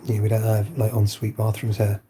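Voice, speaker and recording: A man vlogging from home while unwell, his voice lowered by illness, rambling and hesitant with many fillers. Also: little pitch movement, brisk, mellow, a little hiss or hum. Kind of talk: casual monologue to camera. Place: at home indoors.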